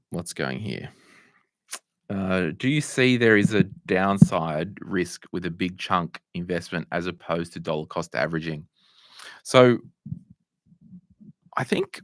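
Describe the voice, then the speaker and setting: Croaky deep-voiced Australian man. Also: fairly bright tone, no echo. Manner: conversational, restarts and asides while fixing tech. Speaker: host answering viewer questions. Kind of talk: casual livestream Q&A.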